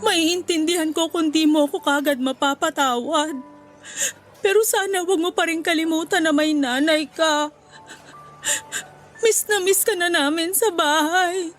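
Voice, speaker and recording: A woman's voice: very high voice, unhurried, soft background music. An actress playing a remorseful, pleading Filipino mother in a radio drama, with earnest, tearful delivery. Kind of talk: Tagalog radio drama acting.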